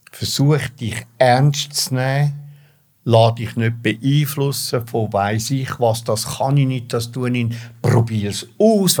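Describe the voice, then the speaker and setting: A man's voice: mid-range voice, darkish tone. An older man with a Swiss German accent tells his own story spontaneously, emphatically repeating words for stress. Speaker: interview guest telling his life story.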